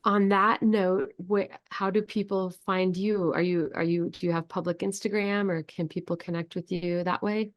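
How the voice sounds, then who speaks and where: A woman's voice: on the low side, steady tone, normal speed, few pauses, highs cut off. A woman hosting an interview, asking questions with effusive praise and frequent restarts. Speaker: podcast host.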